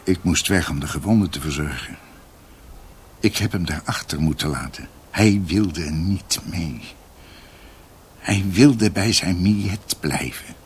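An actor playing a grave doctor who recounts his family's past in a halting, reflective radio drama narration. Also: low-pitched, a little hiss or hum. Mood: reflective, sombre.

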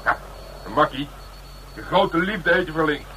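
A tough, taunting police detective in a radio crime drama. His voice is high for a man and forceful, with blunt, streetwise phrasing and sardonic bite. The old recording carries some noise. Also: lively intonation, normal speed, low-quality recording. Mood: needling.

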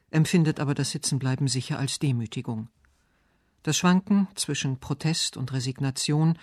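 A man's voice: mid-range voice, a little mellow, dry recording, clean studio sound. A man reading a scripted literary radio essay, with poetry and narration.

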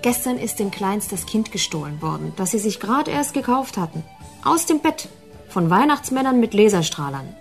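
Lockere, überredende Kollegin mittlerer Stimmlage. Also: female voice, medium pitch, big pitch swings, normal speed, faint music behind. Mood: matter-of-fact. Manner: colloquial, coaxing. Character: chatty female colleague asking a favour. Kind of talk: radio drama acting.

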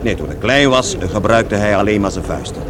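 A man's voice: expressive, a little fast, lightly acted. An actor in a radio play playing a blunt, talkative working-class son who rambles hesitantly about his brawling father. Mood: reminiscing. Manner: rambling, hesitant storytelling with repetitions. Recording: noisy, vehicle rumble behind.

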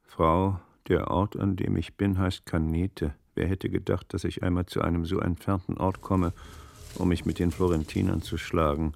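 An actor playing a troubled, learned magistrate in a German radio play, gravelly, with measured, brooding, literary speech. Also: male voice, sits low, little pitch movement, darkish tone. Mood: reading aloud.

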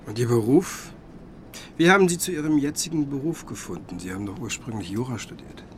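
An actor playing an earnest, curious younger music scholar in a radio drama, speaking politely and thoughtfully. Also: male voice, medium pitch, expressive, brisk, noisy background, natural voice. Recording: music behind the voice.

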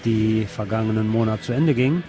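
A man's voice: on the low side, normal speed, dark tone, faint music behind. A man reading scripted narration as a podcast host.

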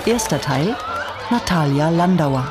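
A man's voice: a little high, expressive, measured pace, over a noisy crowd. A man reading scripted radio drama credits as an announcer. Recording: loud music behind the voice.

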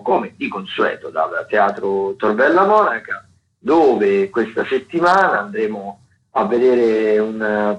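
A man's voice: medium pitch, fairly bright tone. Hesitant man in slightly dull radio audio. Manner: hesitant, loosely improvised, filler-heavy. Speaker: theatre critic, regular radio contributor. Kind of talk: casual spoken listings commentary.

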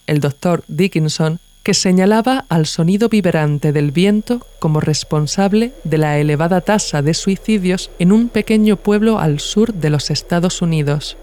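A woman with an accent from Spain narrates a documentary essay. She reads from a script in a formal register, keeping a measured and reflective tone as a podcast author would. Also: fairly low pitch, warm tone.

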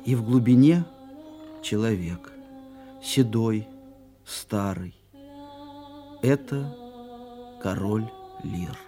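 An actor playing a solemn narrator of an audio play, deliberate, with dramatic pauses. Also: male voice, lowish voice, expressive, warm tone. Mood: solemn, atmospheric. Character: solemn atmospheric stage narrator. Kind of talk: theatrical scripted narration. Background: music.

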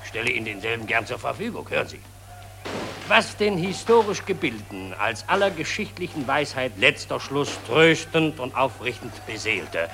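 An actor playing a self-important patriotic journalist, declaiming in lofty, high-flown phrases in a 1940s radio play. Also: male voice. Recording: old radio recording with music behind.

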